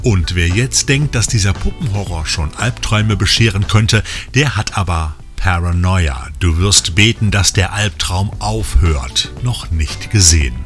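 A man's voice: deep voice, unhurried, hardly any pauses, fairly bright tone. A man presenting scripted film and release news as a podcast host, with wry asides addressed to the listeners. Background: music under the voice.

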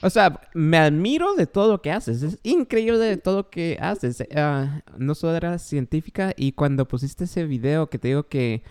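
A man's voice: a little high, expressive, normal speed, hardly any pauses, a little mellow, smooth. A man with a Guatemalan accent hosting his podcast, enthusiastic and rambling as he asks questions. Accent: Guatemalan Spanish. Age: adult, father of a young child. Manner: enthusiastic, rambling, self-described joker. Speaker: podcast host. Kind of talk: casual podcast interview.

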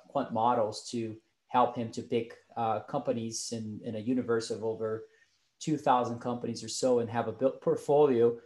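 Young brazilian guy, portuguese native, low flat voice, lots of ums, echoey room. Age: young adult. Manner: halting, many fillers and restarts. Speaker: podcast guest. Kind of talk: casual interview answers.

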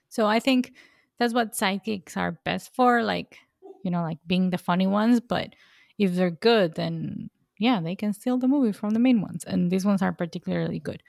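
A woman's voice: mid-range voice, gravelly, dry recording, studio quality. A woman co-hosting a casual podcast, chatty and laughing often. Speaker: podcast co-host.

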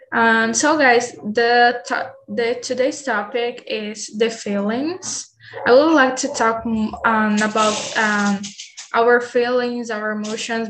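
Slow-talking young Brazilian woman. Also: fairly high pitch, fairly bright tone, a little hiss or hum, poor audio. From Bahia, Brazil. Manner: hesitant, many ums. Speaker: conversation group host. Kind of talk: casual online English practice chat.

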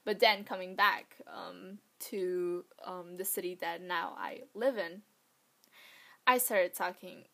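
Young woman rambling on her podcast, slow and chatty, lots of likes and ums. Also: fairly low pitch, very animated, creaky, sharp tone. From Vietnamese family, raised in Poland. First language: Vietnamese. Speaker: podcast host. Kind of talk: casual solo podcast chat.

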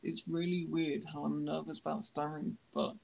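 A young man who stammers, hosting a podcast and speaking in a halting, reflective way. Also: on the high side, level tone, vocal fry. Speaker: podcast host. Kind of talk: podcast conversation.

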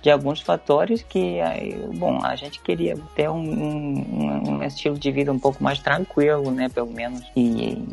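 Hesitant young Venezuelan man, gravelly voice. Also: a little high, fairly even intonation, a little slow, hardly any pauses, a little mellow, faint music behind. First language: Spanish. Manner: hesitant, many restarts and fillers. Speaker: interviewee, English teacher. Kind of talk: casual interview.

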